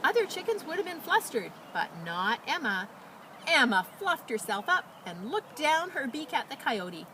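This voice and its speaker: A woman reading aloud as a children's storyteller, with expressive, dramatic emphasis and casual delivery. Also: sits high, a little hiss or hum, poor audio. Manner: animated, dramatic emphasis and drawn-out words.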